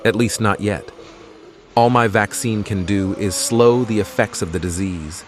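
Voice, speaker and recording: Low-voiced weary scientist played by a man. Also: a little slow, a little hiss or hum, close to an everyday voice. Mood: bleak. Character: weary, guilt-ridden male research scientist after zombie apocalypse. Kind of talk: dramatic audio comic acting.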